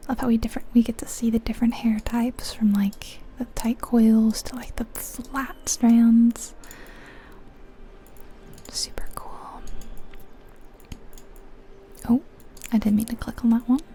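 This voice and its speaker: A woman whispering breathily in ASMR style, giving gentle, chatty commentary on a game as she goes. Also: on the high side, level tone, measured pace, pauses often, a little hiss or hum. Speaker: ASMR video host.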